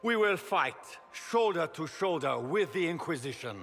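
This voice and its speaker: An actor playing a proud, battle-hardened new emperor giving a grand, solemn, formal speech in a fantasy video game. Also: male voice, a little high, animated, moderate pace, vocal fry, fairly bright tone. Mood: resolute, vengeful. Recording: long hall reverb, music underneath.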